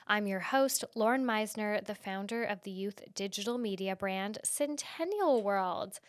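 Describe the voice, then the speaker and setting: A woman's voice: medium pitch, measured pace, clear, resonant tone, no echo, studio quality. A woman in her early thirties hosting a casual solo podcast, chatty and full of fillers, sniffly and stuffed up from hay fever. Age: early 30s. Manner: chatty, heavy use of "like". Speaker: podcast host.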